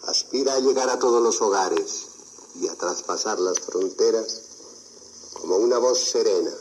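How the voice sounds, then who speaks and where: A man's voice: fairly low pitch, darkish tone, slight background noise, poor audio. A man gives a solemn, oratorical formal address in an archive radio recording. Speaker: speech-maker on radio.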